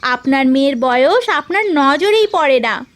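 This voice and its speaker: A woman's voice: high voice, animated, a little slow. A woman playing an insistent, chiding wife and mother in a cartoon story. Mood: nagging. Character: nagging, practical wife and mother of a grown daughter. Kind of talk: Bengali cartoon story dialogue.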